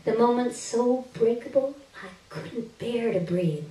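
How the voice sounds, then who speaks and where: A woman giving an expressive, deliberate reading of first-person literary fiction on stage, with drawn-out phrases. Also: a little high. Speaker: reader of a short story on stage. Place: indoor stage, live reading. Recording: roomy stage recording, distant microphone.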